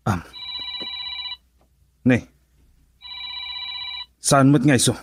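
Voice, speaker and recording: A man's voice: medium pitch, extremely slow, a little hiss or hum. A man playing a proud, defiant husband in an Ilocano radio drama. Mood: defiant, irritated.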